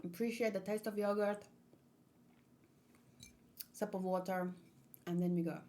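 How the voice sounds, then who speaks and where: A woman livestreaming in a casual, expressive and playful way, chatting and commenting as she reads aloud. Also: medium pitch, unhurried, a little hiss or hum. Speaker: streamer hosting a manga read-along.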